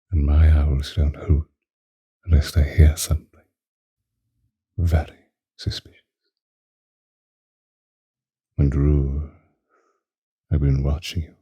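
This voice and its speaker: Man as ancient male vampire, ASMR roleplay, rock-bottom pitch, husky breathy murmur, possessive, theatrical, languid, close dry mic. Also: dark tone, no room sound, exaggerated voice. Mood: sly, brooding. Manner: languid, archaic, theatrical, with lingering pauses. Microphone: close microphone, dry.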